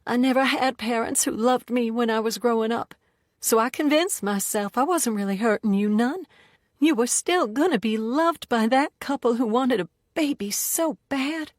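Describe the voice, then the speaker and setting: A woman's voice: on the high side, moderate pace, no echo, clean studio sound, voice pushed up, close to an everyday voice. Woman doing a folksy Alabama-drawl woman. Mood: remorseful. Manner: folksy ungrammatical speech, plain-spoken. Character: tearful Southern woman, blunt and folksy. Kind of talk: audiobook character voice.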